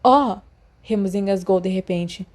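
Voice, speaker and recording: Mellow Brazilian woman narrating a story. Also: medium pitch, moderate pace. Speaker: audiobook narrator.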